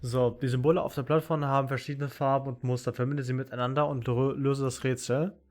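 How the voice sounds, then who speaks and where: A man's voice: medium pitch, level tone, quick pace. A man with a German accent giving casual live commentary as he streams a video game. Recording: game music behind the voice. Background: game music.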